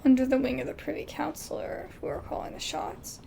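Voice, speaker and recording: High, very creaky, lively woman gamer. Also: brisk, slight background noise. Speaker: gaming video host. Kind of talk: casual let's play, reading game dialogue aloud in translation.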